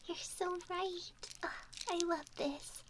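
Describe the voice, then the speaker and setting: Woman playing a high, whispery, excitable young mermaid. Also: slow pace. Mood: delighted, eager. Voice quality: soft, breathy, whispery. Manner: gushing, apologetic, easily distracted. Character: excitable, sweet, curious young mermaid girl. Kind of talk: roleplay ASMR. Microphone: 3Dio binaural microphone, close. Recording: close binaural mic with background ambient noise.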